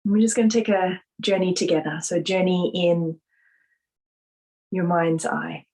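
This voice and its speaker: A woman leading a guided visualisation meditation, speaking softly in a gentle, soothing manner with drawn-out pauses. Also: fairly low pitch, fairly even intonation, low-quality recording.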